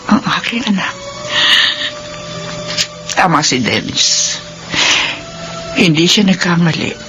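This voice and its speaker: A woman playing a grieving older mother-in-law in a radio drama, speaking softly and solemnly. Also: fairly low pitch, low-quality recording. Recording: radio drama with music beneath.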